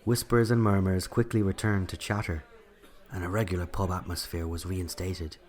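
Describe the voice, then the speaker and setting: A man's voice: sits low, flat delivery, moderate pace, a faint crowd, close to an everyday voice. An Irish man playing a narrator who recalls a night from his childhood, in scripted first-person storytelling. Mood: reflective.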